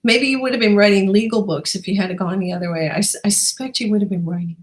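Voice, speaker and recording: A woman's voice: mid-range voice, fast. A woman hosting a book show, introducing her guest and asking questions in a conversational interview style. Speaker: talk show host interviewing an author.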